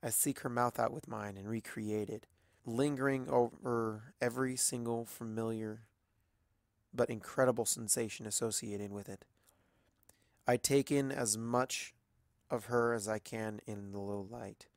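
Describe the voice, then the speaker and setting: Expressive story narrator, very dry recording. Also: medium pitch, measured pace, dry recording. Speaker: amateur audiobook narrator.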